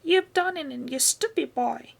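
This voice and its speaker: Bossy old grandma, loud and screechy, scolding from a rooftop in a storybook reading, a woman putting her on. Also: high-pitched, a little slow, creaky, pitched above the performer's own voice, exaggerated voice. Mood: shouting shrilly.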